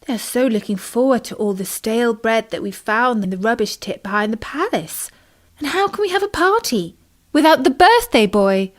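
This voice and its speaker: A soft-hearted lady swan in a children's tale, voiced by a woman. Her fairly high voice is plaintive and full of sympathy. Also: lively intonation, moderate pace, lightly acted. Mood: concerned. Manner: sighing, plaintive.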